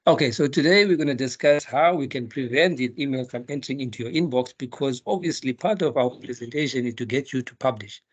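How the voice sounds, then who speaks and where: A man's voice: medium pitch, quick pace, few pauses, husky. A middle-aged man from South Africa, a dental researcher, giving a chatty webinar lecture full of rhetorical questions and light jokes. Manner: chatty, jokey teacher, asks rhetorical questions.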